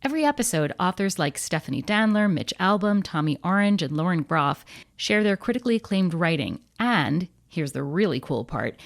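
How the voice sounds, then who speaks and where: A woman podcast host giving a scripted, conversational introduction that promotes a show. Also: sits low.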